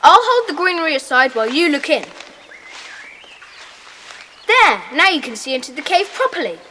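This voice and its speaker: A woman playing a bossy, brisk schoolboy club leader in a children's audio drama. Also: high voice, very animated, sharp tone, exaggerated voice. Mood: eager, commanding. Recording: faintly noisy cassette tape.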